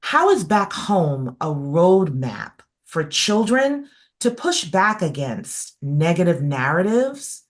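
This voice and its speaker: A woman hosts an interview in a low, unhurried voice. She speaks thoughtfully, pausing with ums as she builds her questions. She is heard over a video call. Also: sing-song. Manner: measured, thoughtful, with frequent fillers. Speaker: host. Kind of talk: interview hosting.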